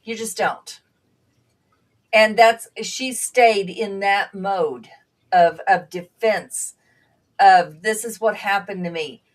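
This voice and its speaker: A woman whose first language was Bulgarian, a panel guest and former nursing assistant, speaking earnestly and reflectively with halting restarts. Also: medium pitch, recorded from a distance. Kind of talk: conversational panel talk.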